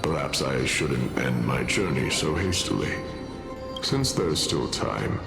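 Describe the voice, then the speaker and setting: A man's voice: extremely low pitch, expressive, slow pace, vocal fry, heavily acted. A man playing a stoic, honorable doomed warrior in a dramatic video game, speaking solemnly and formally. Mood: gently reassuring. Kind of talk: English game voice acting, dramatic. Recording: echoey, loud music behind.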